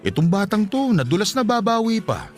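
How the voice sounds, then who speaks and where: A man's voice: high voice, sing-song, a little fast, soft background music. An actor playing a playful, assertive middle-aged Filipino father who teases and dotes, in radio drama style. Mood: playful, hearty.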